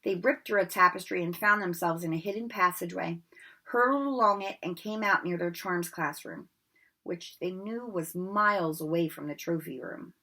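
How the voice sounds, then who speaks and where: A woman reading a storybook aloud with expressive narration. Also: lowish voice, moderate pace. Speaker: reader.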